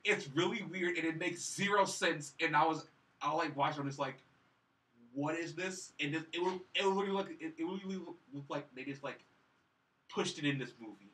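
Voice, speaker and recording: A man co-hosting a casual film review podcast, talking in a halting, repetitive way full of "like". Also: a little high, bright, forceful tone. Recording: slightly distant from mic.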